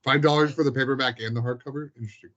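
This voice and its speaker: A man's voice: medium pitch, fairly even intonation, rapid-fire, fairly bright tone. A man talking informally in a casual, conversational chat. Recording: muffled, dull lo-fi sound.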